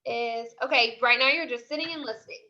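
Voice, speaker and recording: A woman's voice: fairly high pitch, fairly quick, sharp tone, lo-fi sound. A woman teacher giving a read-aloud lesson to third graders, emphatic and repeating her instructions.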